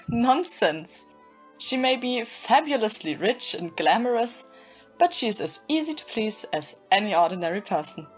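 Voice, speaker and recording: A woman's voice: fairly high pitch, big pitch swings, measured pace, husky, full character voice. A woman playing a giddy, devoted young fiancée in an audio play, gushing and affectionate. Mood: reassuring, fond. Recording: muffled audio, faint music behind.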